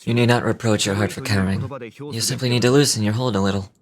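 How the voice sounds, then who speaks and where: Low-voiced sage gentleman for a game, male actor: composed, formal, measured, philosophical. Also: crowd noise, natural voice. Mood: calm, reassuring. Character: composed, wise, courteous gentleman who looks young. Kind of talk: video game dialogue.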